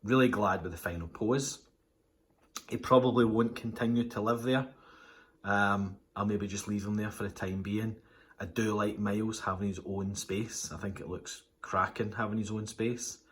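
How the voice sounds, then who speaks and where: Deep-voiced Scottish man, chatty. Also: steady tone, moderate pace. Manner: chatty, rambling with fillers and restarts. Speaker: toy collector channel host. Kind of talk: casual unboxing vlog. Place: home room with display cabinets.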